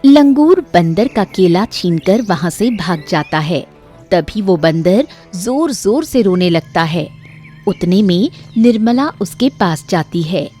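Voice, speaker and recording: A woman's voice: medium pitch, expressive, takes it slowly, warm tone, soft background music. A woman narrator reading a scripted moral story for children.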